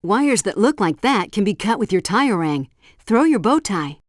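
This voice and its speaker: Instructive game helpdesk guide, dry sound. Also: female voice, mid-range voice, sing-song, normal speed, no echo. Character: female helpdesk guide briefing a secret agent, helpful and instructive. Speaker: in-game tutorial narrator. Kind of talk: scripted video game tutorial instructions.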